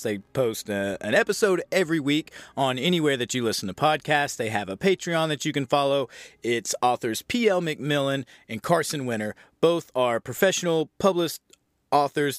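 A man's voice: mid-range voice, no echo, clean studio sound. A man hosting a podcast in an informal, enthusiastic, casual chatting style. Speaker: podcast host. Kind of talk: casual solo podcast introduction. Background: faint music.

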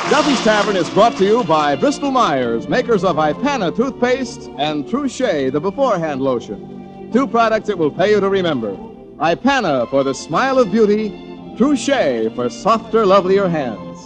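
A man's voice: sits high, big pitch swings, normal speed, poor audio. A man working as a 1940s radio announcer, introducing a show and reading its sponsor message in an emphatic, showmanlike way. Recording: vintage radio broadcast with music.

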